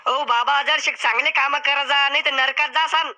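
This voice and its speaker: A man's voice: extremely high pitch, fairly even intonation, normal speed, sharp tone, heavily acted. A man voicing a sarcastic mock game show host in a cartoon, announcing in a mock-formal tone. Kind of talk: cartoon comedy acting.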